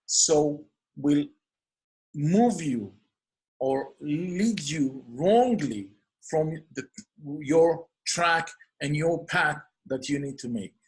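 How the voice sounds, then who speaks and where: Man, Israeli accent, Hebrew native speaking English, somewhat high voice, earnest solo host, lists of near-synonyms. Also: lively intonation, drawn-out words, rough recording. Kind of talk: solo program introduction.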